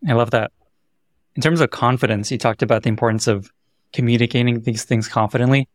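A man's voice: mid-range voice, steady tone, a little fast, no echo, studio quality. A man hosting an interview podcast, asking questions in a conversational way. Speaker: podcast host.

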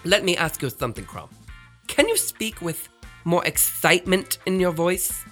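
A woman's voice: lowish voice, animated, moderate pace, a bit bright, soft background music. A woman voicing a flamboyant, bossy, self-adoring radio star in a children's comedy audio drama, with a theatrical manner. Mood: exasperated. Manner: theatrical, exasperated, showy.

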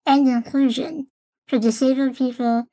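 A woman's voice: high-pitched, flat delivery, moderate pace, mellow. A woman, speaking as a podcast host. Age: late 30s.

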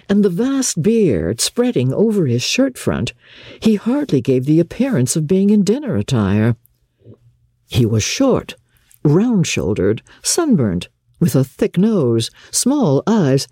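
A woman reads classic fiction as a storyteller, with a husky, mellow voice. Her delivery is measured and dramatic, with a widely swinging melody. The sound is close and dry. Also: lowish voice, no room sound. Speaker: audiobook narrator and radio presenter. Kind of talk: scripted dramatic reading of a classic novel.

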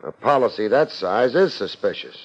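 A man's voice: lowish voice, very animated, normal speed, husky. An American man playing a hard-boiled, wisecracking private investigator in a 1950s radio drama, sardonic and unruffled. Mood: needling, skeptical. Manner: sardonic, unruffled, wry first-person narration and blunt questioning. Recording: muffled 1950s radio recording with music.

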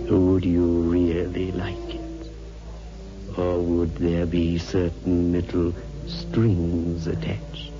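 An actor playing a sinister, sardonic host of horror tales on 1960s radio, ominous and teasing. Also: male voice, low-pitched, creaky, full character voice. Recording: muffled old radio recording with music.

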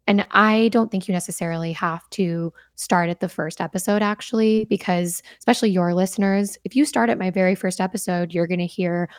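Young adult woman, podcast guest; casual and earnest, laughs easily, slightly low voice, dead-dry room. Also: steady tone, brisk, hardly any pauses, clear, resonant tone, dry recording. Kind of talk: casual interview chat.

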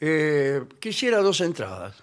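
A man's voice: on the high side, sing-song, a little slow. A man playing a pushy, boastful Argentine tourist in a radio comedy sketch. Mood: indignant. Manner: indignant and boastful. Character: pushy adult male Argentine tourist in Paris.

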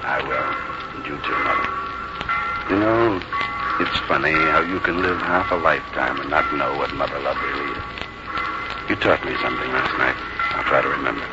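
An actor playing a fond, deferential middle-aged son in a 1950s radio drama, speaking a little hesitantly. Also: male voice, extremely low pitch, normal speed, pauses often. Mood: tender. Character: forty-five-year-old city son, fond, deferential, boyish. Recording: dull old radio recording, loud music.

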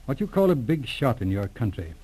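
A man's voice: medium pitch, sing-song, brisk, mellow, breathy edge, low-quality recording. A man playing a shrewd, unflappable police inspector turned private detective in a radio drama, speaking in a wry, matter-of-fact way. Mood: confiding, thoughtful. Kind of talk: 1940s radio drama acting.

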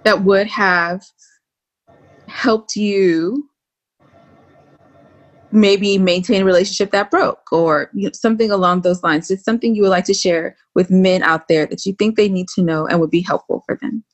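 A woman's voice: medium pitch, steady tone, moderate pace. Teasing woman podcast host. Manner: laughs easily, teasing. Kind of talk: casual interview chat.

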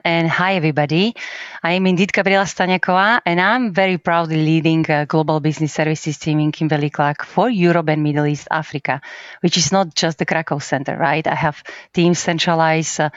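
A middle-aged Slovak woman with a low voice speaks accented English as a podcast guest. She talks lively, with many fillers and "right?" tags. The recording is dry and a bit muffled. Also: dry recording. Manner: animated, many fillers and tag questions. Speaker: business services team leader, guest. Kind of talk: podcast interview.

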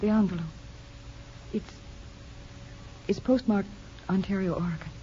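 A woman playing a patient, wistful woman in love in a 1950s radio drama, gentle and wry, with an American accent. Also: mid-range voice, fairly even intonation, unhurried, a little hiss or hum, close to an everyday voice. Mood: gentle, resigned. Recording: dull old radio recording with music.

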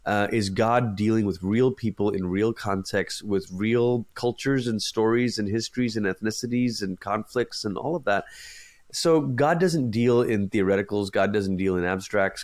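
A man from Malaysia, a pastor, giving a long thoughtful interview answer and pausing to search for words. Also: on the low side.

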